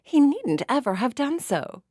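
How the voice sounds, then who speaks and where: A woman voicing a poised young society woman who speaks in a sweet, deliberate, cultured way. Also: high-pitched, sing-song, a little mellow, no room sound, studio quality, pitched above the performer's own voice.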